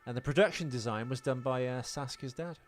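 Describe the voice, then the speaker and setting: A man's voice: medium pitch, a little fast. Male podcast co-host, mid register, dry and opinionated wisecracker, conversational film talk over music. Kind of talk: casual film chat.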